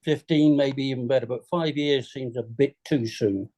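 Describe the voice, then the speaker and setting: A man on a script reading panel, talking in an emphatic, measured way. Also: mid-range voice, mellow. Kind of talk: casual panel discussion.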